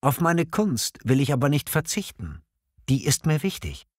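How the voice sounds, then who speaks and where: A man acting a hesitant, expressive single guy. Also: on the high side, normal speed, no echo, studio quality. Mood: mildly defensive. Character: hesitant, choosy single man who loves art. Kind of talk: scripted language-learning dialogue, clearly enunciated.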